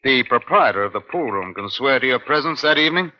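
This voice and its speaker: Over a dull old radio recording comes a man acting as a police inspector of middle age. He speaks in a medium-pitched voice with formal, measured authority, dry and probing, in the style of a fifties crime serial. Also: lively intonation, close to an everyday voice.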